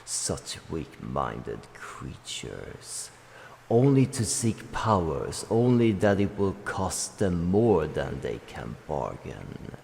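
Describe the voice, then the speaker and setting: A man voicing an ancient, wise and protective dragon in a fantasy game roleplay, speaking in grave, formal phrases with deliberate pauses. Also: deep voice, big pitch swings, creaky, darkish tone, full character voice. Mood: disdainful, warning. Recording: long cavernous reverb, faint noise.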